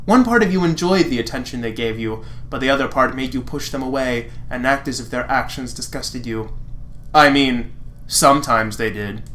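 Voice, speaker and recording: Sardonic reader with a very animated delivery. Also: medium pitch, moderate pace, a little hiss or hum. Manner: sardonic, mocking. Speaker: fan fiction reader and commentator. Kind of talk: dramatic reading with sarcastic asides.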